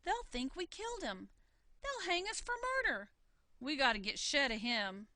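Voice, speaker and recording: A woman's voice: sits high, big pitch swings, normal speed, pushed and bright, dry recording, exaggerated voice. A woman voicing a worried, scheming farmer husband in a storybook, folksy and exclamatory. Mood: alarmed.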